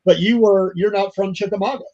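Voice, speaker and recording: A man's voice: high voice, fairly even intonation, brisk, dark tone, highs cut off. An older man hosting a podcast, chatting in a folksy, rambling way full of fillers. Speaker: podcast host. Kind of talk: casual interview chat.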